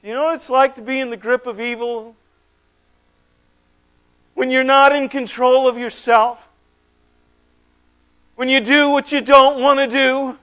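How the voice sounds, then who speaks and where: Brief: high, smooth voice; a man as a once-possessed man, haunted and fervent, hushed to shouting. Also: steady tone, unhurried, pauses often. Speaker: dramatic monologue performer. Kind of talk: first-person dramatic monologue.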